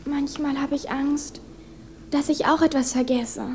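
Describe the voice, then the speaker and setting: A young teenage girl in a radio play. Her voice is high and youthful, earnest and full of feeling, with a faint noise behind it. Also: fairly even intonation, measured pace. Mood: wistful. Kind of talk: German radio play acting.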